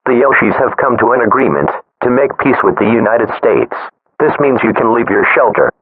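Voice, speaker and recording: A man playing a formal, impersonal emergency alert announcer reading a scripted broadcast in a measured way. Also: mid-range voice, animated, natural voice. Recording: noisy, phone-like emergency radio broadcast.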